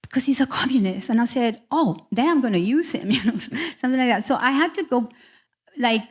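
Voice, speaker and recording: A woman's voice: a little high, a little mellow. Woman speaking candidly on a panel. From South America. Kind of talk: personal storytelling on a panel.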